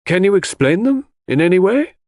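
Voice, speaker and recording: A man's voice: high voice, big pitch swings, normal speed, darkish tone, no echo, studio quality, voice pushed up. Man acting a formal, probing coroner. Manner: formal, questioning.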